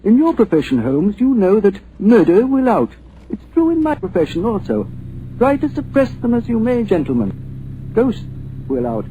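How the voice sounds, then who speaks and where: A man's voice: high voice, wildly swooping pitch, normal speed, narrow, tinny audio, noisy background. An actor playing a wry male psychic investigator, in 1940s radio-drama style.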